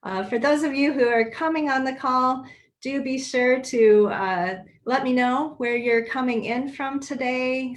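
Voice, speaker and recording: A woman hosting a casual video call chat, upbeat and friendly, with frequent fillers. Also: on the high side. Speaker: host.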